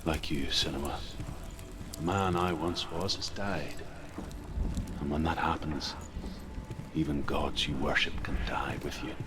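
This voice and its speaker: An actor playing a weary, haunted former scholar from Ireland in a video game, speaking gravely and deliberately as a mentor. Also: male voice, fairly even intonation. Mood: sorrowful, confessional. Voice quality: very deep, gravelly. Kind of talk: dramatic video game acting. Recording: clear ambient noise behind.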